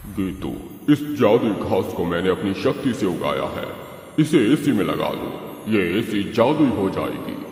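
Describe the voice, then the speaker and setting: Man voicing slow, echoing magical talking spirit. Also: deep voice, expressive, darkish tone. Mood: gentle, reassuring. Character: magical talking mango tree and grass air conditioner, generous, boastful. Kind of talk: animated moral story dialogue. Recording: echoey hall reverb, music behind.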